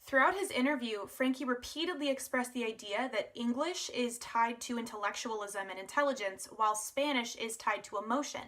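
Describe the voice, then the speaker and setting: A woman's voice: on the high side, brisk, few pauses, fairly bright tone. A woman presenting a prepared academic analysis as a scripted student narration.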